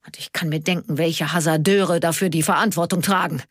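A woman plays a retired policeman, older, stubborn and easily indignant. The voice is husky and sits low for a female reader, in a dry, close audiobook sound. He grumbles and orders impatiently. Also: steady tone, fairly quick, dry recording, lightly acted. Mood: outraged. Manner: complaining, impatient, commanding. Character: grumpy, hot-headed retired male police officer, indignant and stubborn. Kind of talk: audiobook character dialogue.

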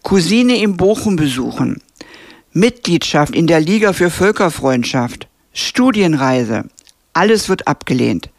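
A woman narrating a scripted radio film review in a measured, even way. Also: sits low, no room sound, studio quality.

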